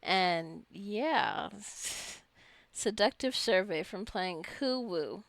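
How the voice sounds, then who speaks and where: A woman's voice: mid-range voice, animated, drawn-out words, creaky, a bit bright, dry recording. A woman giving casual let's play commentary, chatty and rambling as she muses aloud. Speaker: gamer narrating own gameplay.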